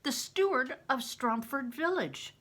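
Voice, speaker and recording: A woman's voice: sits high, animated, measured pace, fairly bright tone, voice pushed up, full character voice. An older woman voicing an anxious, curious young medieval peasant boy in a storybook, with an urgent, questioning manner.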